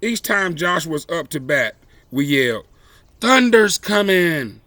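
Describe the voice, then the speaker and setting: A man reading a children's story aloud expressively, with deliberate, emphatic phrasing and dramatic pauses. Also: a little high, very animated, bright, forceful tone, dry recording. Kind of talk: expressive read-aloud storytelling with brief asides.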